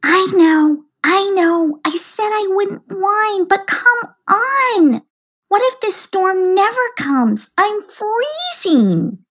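A woman voicing a whiny, excitable little girl in a storybook reading, with a high squealing whine. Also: takes it slowly, full character voice. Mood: whining.

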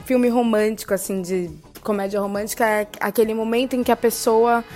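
Brazilian woman guest on a podcast, a bit high voice, giggly, faint music behind. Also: a little fast. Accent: Brazilian Portuguese. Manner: giggly and animated. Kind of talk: casual podcast chat.

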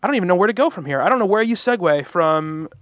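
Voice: medium pitch, lively intonation, fast, a bit bright. A podcast host from Long Island in a casual chat, laughing often and rambling through half-finished sentences. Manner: laughing, rambling, self-interrupting.

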